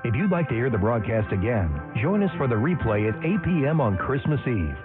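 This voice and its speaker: A male station announcer reads a scripted sign-off. His mid-pitched voice moves around in a lively way. Music plays clearly underneath, and the sound is thin and narrow. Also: brisk.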